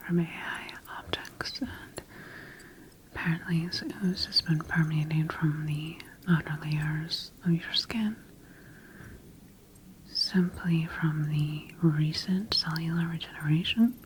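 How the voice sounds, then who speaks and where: A woman playing a calm, clinical scientist in an ASMR roleplay, whispering breathily in a slow, gentle, measured way. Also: deep voice, a little hiss or hum, low-quality recording. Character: calm, clinical female scientist examining her engineered creation.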